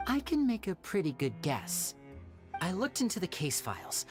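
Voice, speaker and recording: A man voicing a young stage magician in a video game cutscene, explaining a trick in a smooth, theatrical storyteller's manner. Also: high-pitched, wildly swooping pitch, normal speed. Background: game soundtrack music.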